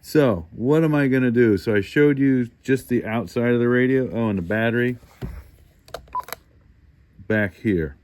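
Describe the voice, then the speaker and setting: A man's voice: mid-range voice, a little slow, pauses often, a little mellow. A man hosting a casual hands-on product walkthrough, talking informally and thinking aloud as he goes. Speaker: host of a hobby radio review channel.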